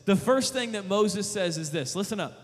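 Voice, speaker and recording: A man preaching a sermon, emphatic, repeating rhetorical questions and paraphrasing scripture in lively retellings. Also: high voice, moderate pace, big-room sound. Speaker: youth preacher.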